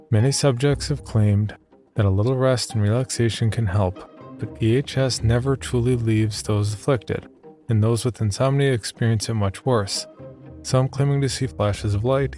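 A man's voice: lowish voice, dark tone. A man narrating a scripted horror story as a podcast reader.

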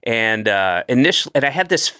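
A man's voice: mid-range voice, sing-song, brisk, pushed and bright, clear, resonant tone, no echo, clean studio sound. A man in his late fifties hosting a podcast, chatting casually and rambling with self-deprecating asides and restarts. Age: 50s. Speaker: podcast host. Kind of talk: casual chat.

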